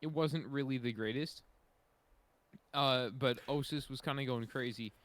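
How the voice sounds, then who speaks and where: A man's voice: mid-range voice, measured pace. A man chatting casually and giving his opinions as a panelist on a podcast.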